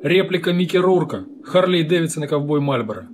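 A man's voice: on the high side, expressive, a little fast, noisy background, close to an everyday voice. A man voicing a stern, sardonic soldier in a cartoon, barking commands. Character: stern, sardonic male soldier holding people at gunpoint. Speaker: voice actor. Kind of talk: cartoon dub acting.

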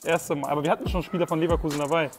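A man hosting a football video show, talking in an energetic, chatty way. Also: a little high, very fast, soft background music. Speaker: host. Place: indoor football hall.

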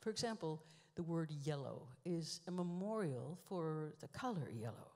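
A woman telling dry, musing stories with deliberate pauses, performing a spoken-word monologue into a stand microphone on a large theater stage. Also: low voice, expressive, darkish tone. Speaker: performance artist reading her book on stage. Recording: live hall reverb.

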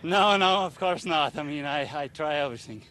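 A ski racer talking at the finish area. He answers earnestly, pausing on fillers, his voice sitting a little high. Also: lively intonation, pushed and bright.

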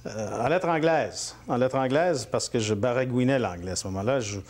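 A man's voice: medium pitch, moderate pace, darkish tone. A man in his forties with a Franco-Ontarian French accent, a guest in a home interview, talking casually with many fillers and restarts. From Northern Ontario, Canada. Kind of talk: relaxed interview conversation. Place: living room at home.

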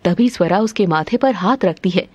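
A woman's voice: lowish voice, moderate pace, darkish tone, no room sound, dull audio. A woman narrating a scripted cartoon story in a dramatic style.

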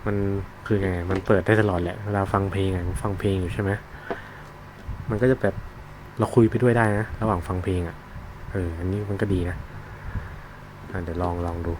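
A man giving a casual, unscripted product demonstration, explaining informally and haltingly as he searches for words. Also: sits low, fairly even intonation. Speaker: product reviewer demonstrating a gadget. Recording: noisy, with vehicle sounds behind.

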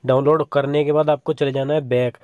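Young man with a mellow voice, step-by-step tutorial. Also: mid-range voice, a little fast, dry recording, studio quality. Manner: steady step-by-step instructions, repeats "simple" often. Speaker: tutorial channel host. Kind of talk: screen-recorded phone tutorial voice-over.

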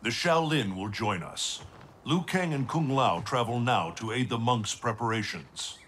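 An actor playing an ancient, protective guardian god in a fantasy game, speaking in a formal, stately, deliberate way. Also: male voice, medium pitch, animated, fairly bright tone, a little hiss or hum. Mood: solemn, counseling.

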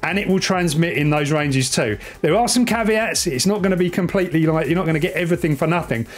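A man's voice: a little high, fast talker. A man in his forties presenting a casual, enthusiastic, informal tech review and explaining as he goes. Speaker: amateur radio vlogger and presenter. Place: small indoor room, home radio shack.

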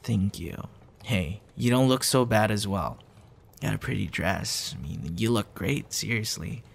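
A man plays a tender young man courting someone close in an intimate audio roleplay. His voice sits a little low, and he talks very slowly. The delivery is soft and hesitant, like a boyfriend ASMR recording. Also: vocal fry, slightly rough, exaggerated voice. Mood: shy, pleased. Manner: soft, hesitant, with sighs and chuckles.

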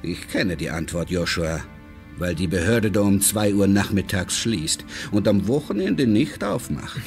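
An actor playing a suave, fatherly and controlling businessman in a radio crime drama, speaking deliberately and courteously with veiled menace. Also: low voice, lively intonation, a little mellow, soft background music. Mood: knowing, controlled.

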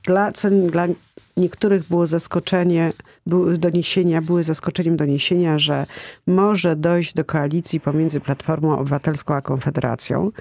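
A middle-aged woman hosting a political radio interview from a studio, often pausing and restarting her sentences. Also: low-pitched, fairly even intonation, a little mellow, no room sound. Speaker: radio host. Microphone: studio mic with headphones.

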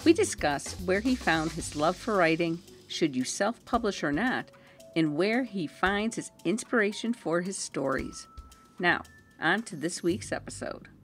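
A woman's voice: fairly low pitch, animated, unhurried, faint music behind. A woman hosting a podcast, reading a cheerful introduction and interviewing her guest. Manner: cheerful, laughs often. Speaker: podcast host.

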